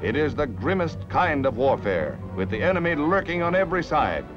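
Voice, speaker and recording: A muffled vintage newsreel soundtrack carries an American man narrating in a fairly high voice. His delivery is formal, dramatic and emphatic, in the scripted announcer style of the early fifties. Music plays clearly behind him throughout. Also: big pitch swings, a little fast.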